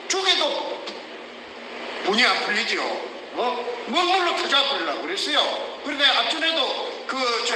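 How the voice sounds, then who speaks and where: An older man with a Gyeongsang Korean accent gives heated, emphatic testimony, often shouting rhetorical questions. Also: very high-pitched. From South Korea. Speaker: whistleblower. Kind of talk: emotional testimony. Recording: echoey distant room with noise.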